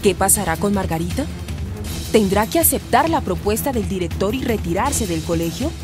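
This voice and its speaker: A woman's voice: medium pitch, lively intonation, normal speed. A woman with a Colombian accent giving scripted narration for a radio soap. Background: music.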